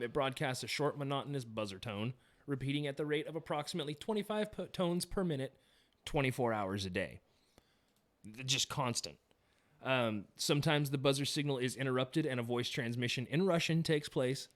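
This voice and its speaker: A man's voice: mid-range voice, brisk. Male stream host, casual and jokey, reads notes with many fillers. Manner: joking, laughs often, reads facts off screen with fillers. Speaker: livestream host. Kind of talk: casual livestream chat.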